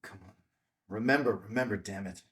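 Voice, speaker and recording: A man's voice: on the low side, fairly quick, fairly bright tone, recorded from a distance, close to an everyday voice. A man playing a weary, grieving widower who works as an investigator, at a film table read. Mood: troubled.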